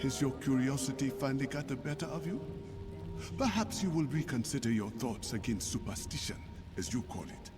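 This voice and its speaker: A man's voice: mid-range voice, lively intonation, a little fast, music in the background, natural voice. An actor playing a sly sorcerer advising a king in a video game, theatrical, formal and needling. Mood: sly, reproachful. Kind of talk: cinematic video game acting.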